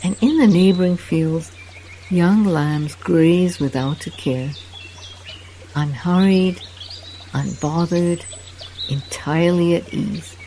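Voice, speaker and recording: A meditation guide narrating a guided relaxation walk in a soft, soothing, unhurried way with long pauses. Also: mid-range voice, slight background noise.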